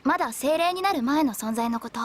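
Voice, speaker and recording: An actress playing a gentle teenage half-elf girl in an anime. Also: sits high, expressive, rapid-fire. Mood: explaining. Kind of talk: Japanese anime voice acting.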